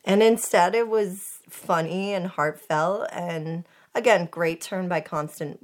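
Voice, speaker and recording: Raspy-voiced woman with a cold. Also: on the low side, takes it slowly. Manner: chatty, frequent "mm-hmm" and "um". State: hoarse from a cold. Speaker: podcast co-host, school librarian.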